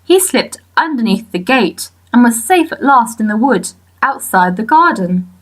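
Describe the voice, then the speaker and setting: A woman narrates a children's story in a fairly high voice. She reads at an unhurried pace, with lively swings of intonation. Her delivery is expressive, with deliberate pauses for effect. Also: poor audio. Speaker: audiobook narrator.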